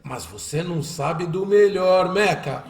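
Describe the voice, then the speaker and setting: An actor playing a proud, excitable older father in a radio drama, with a folksy, exclamatory manner. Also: high-pitched, a little slow, recorded from a distance. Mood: jubilant. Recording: echoey, off the mic.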